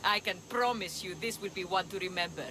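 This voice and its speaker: A woman acts out a theatrical, scheming sea rogue for a video game. The voice is medium in pitch, boastful and sly, set against clear background noise. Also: a little fast, lightly acted. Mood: sly, eager. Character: scheming, boastful seafaring treasure hunter who double-crosses mercenaries. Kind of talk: video game cutscene acting.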